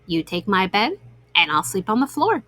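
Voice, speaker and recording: A woman's voice: mid-range voice, big pitch swings, normal speed, fairly bright tone, dry recording, clean studio sound, voice pushed down. A woman voicing a generous, obliging porcupine in a children's story, polite and accommodating.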